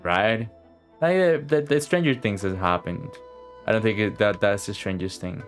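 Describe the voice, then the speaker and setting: Low-voiced, slangy male game streamer. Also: normal speed. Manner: informal, slangy, muttering reactions, sweary. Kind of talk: casual live game commentary. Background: game music.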